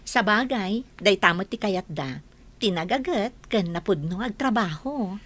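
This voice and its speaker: An actress playing a coy, sensible young sweetheart in an Ilocano radio drama. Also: female voice, mid-range voice, lively intonation, a little slow, a bit bright. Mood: matter-of-fact.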